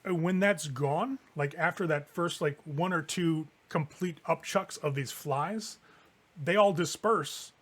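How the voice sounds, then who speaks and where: Male game master, higher register, conversational horror narration for a tabletop game. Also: measured pace, a bit bright. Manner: casual, conversational improvised narration with swearing.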